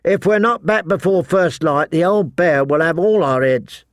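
Man as an earnest, high-voiced teenage boy. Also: normal speed, no echo, clean studio sound, natural voice. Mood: urging. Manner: solemn, reciting. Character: earnest teenage boy comrade, loyal and solemn.

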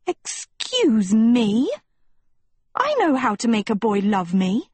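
A woman's voice: sits high, expressive, slow, a little mellow, no room sound, voice pushed up. A woman voicing a vain, dramatic teenage girl in a storybook, complaining theatrically and full of huffy self-pity. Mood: huffy, indignant.

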